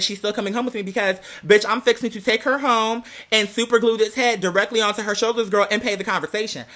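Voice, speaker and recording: Bright-voiced, sassy, animated storyteller. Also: medium pitch. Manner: sassy, slangy, emphatic, peppering talk with "girl"; theatrical, acts out quoted dialogue. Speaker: vlog host telling a personal story. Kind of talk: animated gossipy storytelling vlog.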